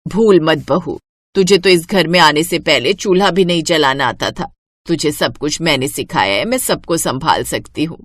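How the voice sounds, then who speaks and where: A woman's voice: low voice, moderate pace, no room sound, studio quality, voice pushed down. A woman voicing an elderly mother-in-law who is caring, anxious and stubborn, in a storybook tale. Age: older.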